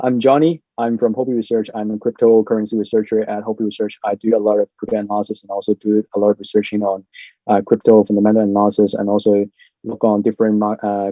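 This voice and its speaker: Mellow man hosting a crypto panel. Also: lowish voice, no room sound. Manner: casual, filler-heavy, upbeat. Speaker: host. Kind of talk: podcast panel introductions. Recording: dull lo-fi sound.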